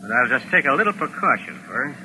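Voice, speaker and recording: An actor playing a bossy, wary American gangster boss, curt and slangy, in 1940s radio acting style. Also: male voice, mid-range voice, sing-song, quick pace, sharp tone. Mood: scheming. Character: bald little American gang boss, bossy, wary, hard-boiled. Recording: noisy old 1940s radio recording.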